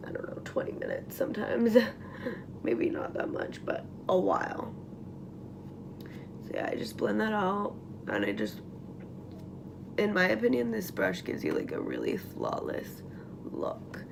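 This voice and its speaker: A young woman with a raspy morning voice chatting casually through a makeup tutorial at home, full of likes and ums and trailing off into whispers. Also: medium pitch, background noise. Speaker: beauty vlogger. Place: at home, getting ready.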